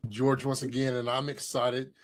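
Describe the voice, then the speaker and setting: A man co-hosting a live online summit, eager and halting, often restarting his sentences. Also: medium pitch, flat delivery. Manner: enthusiastic, halting, with many restarts. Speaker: event co-host.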